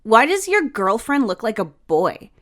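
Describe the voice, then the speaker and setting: A woman's voice: on the high side, sing-song, unhurried, voice pushed up. A woman voicing a rude, blunt, mocking young boy in an audio story. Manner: blunt, sneering.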